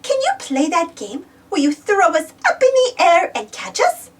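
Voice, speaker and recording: Lady doing a playful little piglet girl from a storybook, really high and bouncy, bit off the mic. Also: very animated, normal speed, recorded from a distance, exaggerated voice. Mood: eager, pleading.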